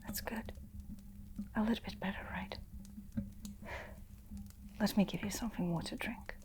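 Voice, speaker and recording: A woman voicing a kind, caring female innkeeper who tends a guest in an ASMR roleplay, speaking in a soft, soothing whisper. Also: mid-range voice, steady tone, normal speed, close to an everyday voice.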